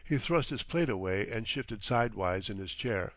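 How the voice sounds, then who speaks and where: A man narrating a literary audiobook in deliberate, dramatic phrases. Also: fairly low pitch, expressive, no room sound.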